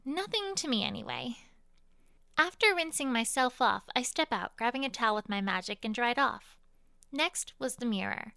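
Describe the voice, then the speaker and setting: A woman's voice: a little high, fairly bright tone, smooth, no echo, clean studio sound. A woman reading fan fiction as first-person story narration, in character as a proud pony queen.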